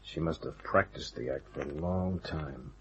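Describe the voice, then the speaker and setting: An actor playing a tough, sardonic hardboiled private detective in a radio drama, with clipped narration and dialogue. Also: male voice, very deep voice, unhurried, a bit bright, lo-fi sound, a little hiss or hum, exaggerated voice. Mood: wry, narrating.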